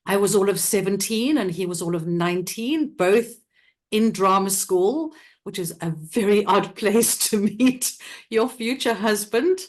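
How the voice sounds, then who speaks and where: A woman's voice: medium pitch, a little slow. Chatty middle-aged woman, laughing guest. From South Africa. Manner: chatty, laughs often, many restarts and fillers. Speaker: interview guest, theatre company director. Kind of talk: casual interview talk.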